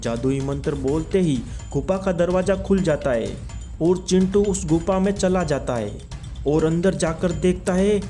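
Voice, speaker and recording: A man's voice: fairly high pitch, measured pace, soft background music. Man narrating a cartoon story. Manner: steady storyteller.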